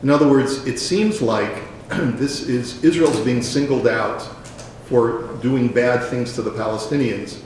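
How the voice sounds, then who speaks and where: A man's voice: mid-range voice, a little slow, darkish tone, slight background noise. A man speaking at a public dialogue, a rabbi who hesitates with fillers and then presses his point. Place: event hall. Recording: very echoey hall with faint ambience.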